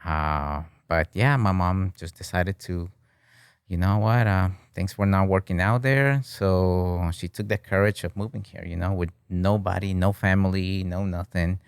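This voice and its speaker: A man's voice: low-pitched, measured pace, clear, resonant tone, no room sound, clean studio sound. A man in his thirties from Peru, a guest chatting casually in an interview and filling his talk with "you know" and "right?". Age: 30s. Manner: relaxed and talkative, many "you know" and "right?", slips into Spanish. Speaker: guest, bartending business owner. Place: podcast studio with flags on the wall.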